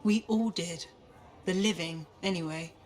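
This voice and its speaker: A woman's voice: lowish voice, measured pace, a little mellow, natural voice. An actress playing a curious, determined female mission specialist in a video game, with a wry, questioning manner. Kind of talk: video game cutscene acting.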